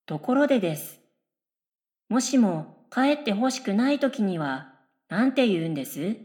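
A woman playing an oddly polite, daydreaming middle-school girl in a radio comedy, musing absurdly in earnest, deadpan, polite speech. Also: mid-range voice, measured pace. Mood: matter-of-fact curious. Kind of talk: scripted radio comedy dialogue.

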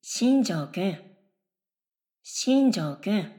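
A woman's voice: medium pitch, expressive, very slow, background noise. A woman playing an airy, chatty middle school girl in a radio drama, speaking politely and with blithe confidence. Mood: calling insistently. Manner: polite speech, blithely confident non sequiturs.

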